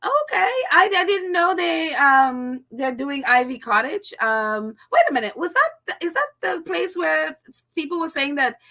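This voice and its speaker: A woman with a Caribbean accent hosts a casual livestream and reads out viewer comments. Her voice sits high and sounds bright and crisp. She laughs a lot and fills pauses with ums. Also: very animated. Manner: chatty, laughs often, many fillers. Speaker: channel host reading live chat.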